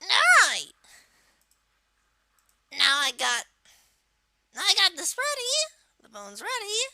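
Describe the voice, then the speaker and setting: A performer voicing a small turtle-like video game villain kid, giving a casual step-by-step software tutorial in a halting, hesitant way. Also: extremely high pitch, wildly swooping pitch, gravelly, no echo, exaggerated voice. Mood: hesitant, matter-of-fact. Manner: halting, hesitant, trailing off in asides. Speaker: tutorial presenter.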